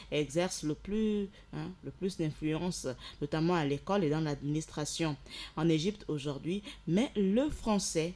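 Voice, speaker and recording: A woman hosting a radio show, explaining facts from her notes and sending loud greetings to listeners. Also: sits low. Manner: explanatory, rises in energy for shout-outs. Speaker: radio host.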